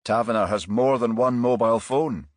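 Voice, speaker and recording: A man's voice: mid-range voice, moderate pace, no echo, clean studio sound, voice pushed up, close to an everyday voice. A man voicing an eager, deferential junior detective who reports promptly in clipped phrases, in a crime audiobook. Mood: eager, reporting.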